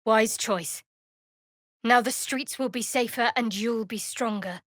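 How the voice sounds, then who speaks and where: Young female dark cleric, fantasy video game, guarded, sardonic, devout, unhurried, lightly high-pitched. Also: dry recording, studio quality. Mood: measured, solemn.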